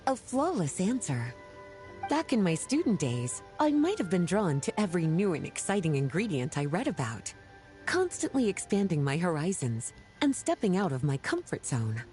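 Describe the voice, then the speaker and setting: An actress playing a playful, flirtatious, well-read woman in a video game, speaking in a coy, polished, unhurried way. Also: fairly low pitch, sing-song, darkish tone. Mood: coy, teasing. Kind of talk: scripted video game character dialogue. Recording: game music behind the voice.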